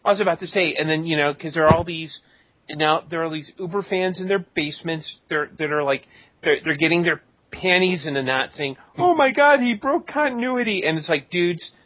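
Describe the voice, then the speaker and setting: A man's voice: fairly high pitch, a little fast, no room sound. A man co-hosting a podcast, giving blunt, sarcastic opinions in casual chat. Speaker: podcast co-host. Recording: dull lo-fi podcast audio.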